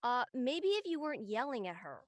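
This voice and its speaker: A woman's voice: on the high side, big pitch swings, fairly quick, no echo. A woman playing an earnest, protective, easily exasperated young college student in an audio drama. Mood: protective, annoyed. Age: young adult. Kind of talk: acted audio drama dialogue.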